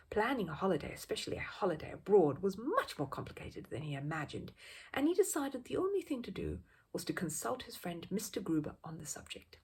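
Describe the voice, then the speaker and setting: Husky, very animated woman storyteller. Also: mid-range voice. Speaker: children's story reader. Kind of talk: expressive storybook narration.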